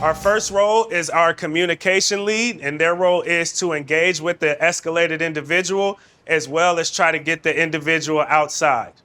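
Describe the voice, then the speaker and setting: Guy doing training video instructions, kinda high voice, really bright and forceful. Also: moderate pace, hardly any pauses, soft background music. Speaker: de-escalation trainer.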